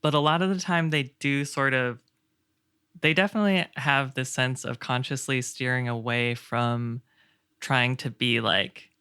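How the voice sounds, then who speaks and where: A man with a clear, resonant voice talks casually as a podcast panelist. He picks his words haltingly and thoughtfully. The sound is dry and close, without room echo. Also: medium pitch, fairly even intonation, bright, forceful tone. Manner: hesitant, halting, thoughtful phrasing. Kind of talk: casual discussion.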